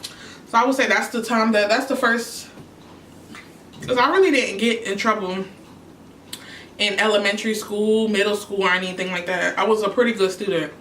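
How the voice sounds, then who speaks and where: A woman's voice: medium pitch, fairly even intonation, moderate pace, pauses often, a bit bright, slight background noise. Chatty young woman in an echoey room. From New York. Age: young adult. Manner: chatty, answering questions between bites. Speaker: YouTuber. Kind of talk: casual mukbang chat. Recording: echoey room, mic at a distance.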